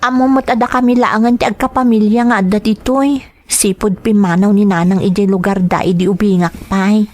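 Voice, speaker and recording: A woman's voice: mid-range voice, fairly even intonation, a little fast, darkish tone, lightly acted. A woman playing a devoted, worried young student daughter in an Ilocano radio drama, earnest and concerned. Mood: pensive, troubled.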